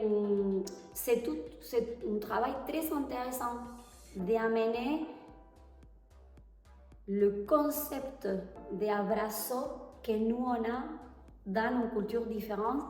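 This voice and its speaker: A woman from Argentina with an Argentine Spanish accent and Spanish as her first language, answering interview questions hesitantly and pausing to find her words. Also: on the high side, darkish tone, soft background music. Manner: hesitant, pauses to search for French words. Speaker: tango teacher, interview guest. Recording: echoey hall, far from the mic.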